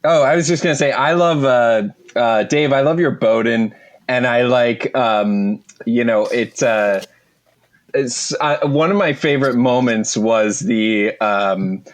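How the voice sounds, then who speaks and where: A man chatting casually and haltingly as a voice actor on a cast panel. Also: medium pitch. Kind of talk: casual chat.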